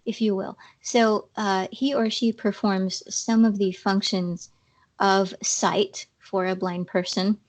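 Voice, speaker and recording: Slow-talking woman, slightly scratchy voice. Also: medium pitch, little pitch movement. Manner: explanatory, uses fillers. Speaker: podcast guest. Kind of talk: casual interview.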